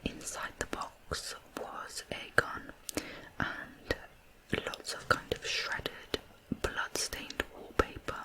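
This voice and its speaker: A person narrating true crime in ASMR style, whispering softly with deliberate, unhurried phrasing. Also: poor audio.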